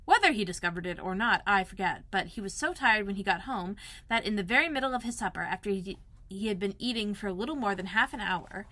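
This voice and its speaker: A woman reading a children's story aloud as a librarian, expressive, with dramatic emphasis and repetition. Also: medium pitch, fast, dry recording.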